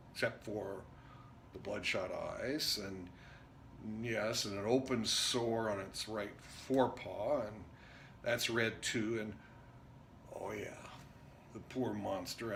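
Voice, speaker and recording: A man performing a dramatic stage monologue as an intense, rambling drifter, speaking in emphatic broken phrases with pauses. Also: lowish voice, gravelly, fairly bright tone, exaggerated voice. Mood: halting, descriptive. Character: Jerry, intense rambling drifter confiding a story. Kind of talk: theatrical dramatic monologue reading. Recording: noticeable background noise.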